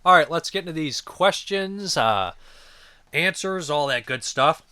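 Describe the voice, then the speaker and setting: Animated man hosting a review podcast. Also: fairly high pitch, a little slow, smooth. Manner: animated, swings loud when joking. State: slightly hoarse, losing his voice. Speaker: podcast host. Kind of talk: casual chat, reading listener comments.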